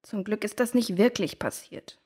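A woman's voice: mid-range voice, moderate pace, mellow, breathy edge, natural voice. A woman playing a sympathetic, inquisitive child in a children's audio drama. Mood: sympathetic, curious.

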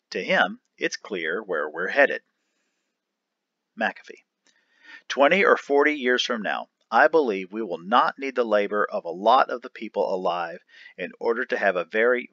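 Guy reading an article out loud, emphatic n animated, dry slightly muffled mic. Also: fairly low pitch, dry recording. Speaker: reader of an educational article. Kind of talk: read-aloud of an article transcript, announcing each speaker.

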